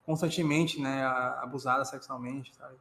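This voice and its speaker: A Brazilian man chatting casually as a co-host, halting often and hedging with many fillers. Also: mid-range voice, fairly even intonation, vocal fry, fairly bright tone. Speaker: co-host reacting to video. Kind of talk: casual chat. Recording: echoey room with faint noise.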